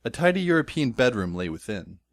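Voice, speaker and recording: A man's voice: mid-range voice, big pitch swings, a little fast, no room sound. A man playing a wry, sardonic teenage schoolboy who narrates his adventure as dry, descriptive audio drama storytelling. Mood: wry narrating. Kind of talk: scripted first-person narration in audio drama.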